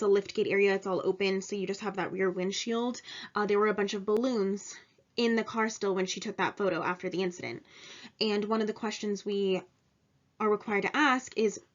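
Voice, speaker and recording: Young woman doing an informal presentation, matter-of-fact and sure of herself, mid pitch, kinda muffled lo-fi recording. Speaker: presenter of personal test results, insurance claims adjuster. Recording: dull lo-fi recording.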